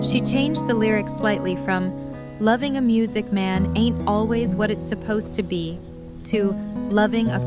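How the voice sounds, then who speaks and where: A woman narrator reading scripted story narration for an audio drama. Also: mid-range voice. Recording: loud music and crowd behind. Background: loud music, cheering or chattering crowd.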